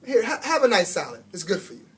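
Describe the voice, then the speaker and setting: A man voicing a jolly, chatty male school janitor with a booming, folksy manner for a storybook. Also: very animated, fast talker. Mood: chatty, friendly.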